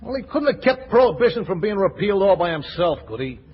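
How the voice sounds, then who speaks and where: A man's voice: high-pitched, big pitch swings, quick pace. An actor playing a wisecracking, world-weary gangster henchman in a 1940s radio comedy. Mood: wry griping. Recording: dull, faintly noisy 1940s radio recording.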